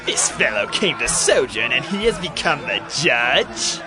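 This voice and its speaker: A man playing a hostile townsman, shouting scornfully in a dramatized scripture reading. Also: mid-range voice, big pitch swings, a little slow. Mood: angry scorn. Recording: loud music and crowd behind.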